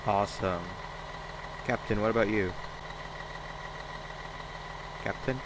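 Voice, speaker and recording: A man's voice: on the low side, moderate pace, lightly acted. A man voicing a cocky, teasing creature in a comic video game scene, chatting casually and sarcastically. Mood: sarcastic. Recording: clear car engine noise behind. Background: idling car engine.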